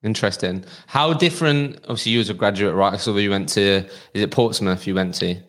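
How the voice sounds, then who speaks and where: A man's voice: fairly low pitch, fairly quick, a bit bright. Upbeat man hosting a podcast. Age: about 30. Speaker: podcast host. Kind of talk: podcast intro and interviewing.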